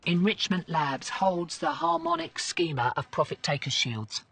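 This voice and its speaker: An actress playing a cocky, slangy resistance field agent in a video game. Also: female voice, lowish voice, huge pitch swings, unhurried, creaky, a bit bright, husky, recorded from a distance, heavily acted. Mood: sardonic, businesslike. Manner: brash, slangy. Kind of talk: video game mission dialogue.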